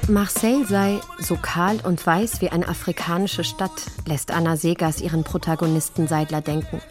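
Woman, slightly low pitch, scripted documentary narration, light music underneath. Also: steady tone, normal speed.

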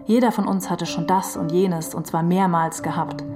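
A woman's voice: lowish voice, fairly even intonation, normal speed, over music. A woman giving a scripted literary reading of memoir and novel passages. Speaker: reader of literary quotations.

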